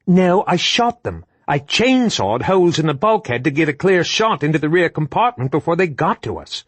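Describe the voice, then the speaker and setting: A man playing a tough young woman soldier who answers back in clipped, raised bursts. The voice is pitched up and a little husky, recorded close and dry. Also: sing-song, normal speed, dry recording. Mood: defensive. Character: tough young female soldier, shaken but defiant.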